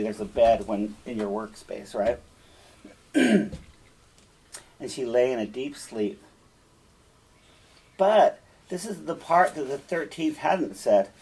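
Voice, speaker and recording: Male storyteller-teacher, slow and husky, emphatic and deliberate, talking directly to listeners. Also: medium pitch, lively intonation, a little mellow, rough recording. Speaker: teacher reading and explaining a fairytale. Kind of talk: storytelling read-aloud with explanatory asides.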